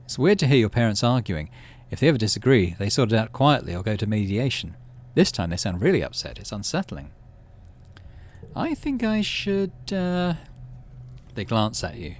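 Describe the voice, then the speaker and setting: A man hosting a gaming channel, reading a visual novel aloud and making relaxed, musing asides. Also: fairly low pitch, fast talker, hardly any pauses.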